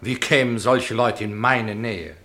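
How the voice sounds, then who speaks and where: An actor playing a righteous, superstitious aristocrat, stiff, severe and condescending, in a 1950s radio drama. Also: male voice, medium pitch, unhurried, pushed and bright, soft background music. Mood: haughty, solemn. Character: Sir Henry Arnington, haughty, superstitious, righteous male aristocrat. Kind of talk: 1950s German radio play acting.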